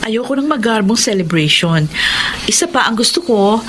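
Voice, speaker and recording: A woman's voice: a little high, lively intonation, moderate pace, fairly bright tone, a little hiss or hum. A woman playing a firm, modest, earnest middle-aged mayor in a radio soap drama. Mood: earnest, grateful.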